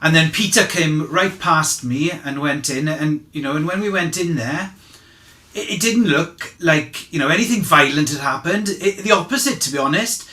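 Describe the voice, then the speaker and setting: A man playing an earnest disciple testifying as an eyewitness at a dramatized inquest, speaking conversationally and haltingly as he searches for words. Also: a little high, sharp tone. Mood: earnest, recalling. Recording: echoey room.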